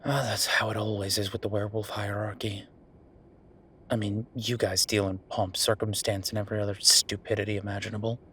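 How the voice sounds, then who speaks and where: A man performing a roleplay audio drama as an exasperated werewolf official venting to his partner, weary, sardonic and conversational with emphatic pauses. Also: lowish voice, level tone, a bit bright, a little hiss or hum, lightly acted.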